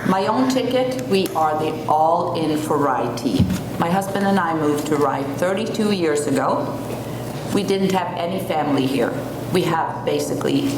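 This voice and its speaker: A woman with a Swedish accent and a low, grounded voice gives an earnest candidate statement, now and then pausing on a filler. The sound is roomy, with clear background noise around her. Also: animated. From Sweden. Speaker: city council candidate. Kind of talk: candidate forum opening statement. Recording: echoey room with noise.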